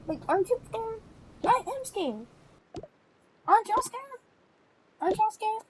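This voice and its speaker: A woman doing a shrill, panicky crybaby teenage girl. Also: animated, noisy background. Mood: panicked. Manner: melodramatic, wailing, drawn-out words. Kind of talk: amateur family role-play.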